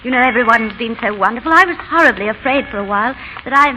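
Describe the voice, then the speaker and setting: An actress playing a warm, playful young wife who gently teases and coaxes, in 1930s radio drama style. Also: female voice, a little high, animated, fast, natural voice. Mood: warm, encouraging. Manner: affectionate, teasing, persuasive. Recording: dull, noisy 1930s radio recording.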